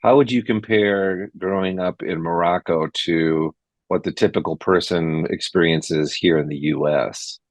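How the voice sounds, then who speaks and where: A man's voice: deep voice, measured pace, no room sound. A man hosting a podcast interview, asking friendly, encouraging questions. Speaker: podcast host.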